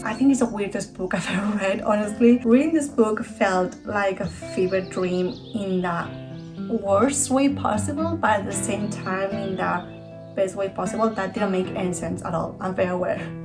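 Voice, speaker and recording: A woman from Spain with a Spanish accent, talking casually and eagerly as a book vlogger, often correcting herself. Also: mid-range voice. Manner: chatty, enthusiastic, self-correcting. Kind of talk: casual book review talk.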